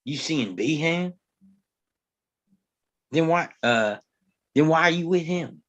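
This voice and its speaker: An actor playing a guarded, gruff Old West lawman in a table read, speaking tersely and grudgingly with some hesitation. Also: on the high side, animated, takes it slowly, lo-fi sound. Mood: curious. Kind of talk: live table read acting of a western screenplay.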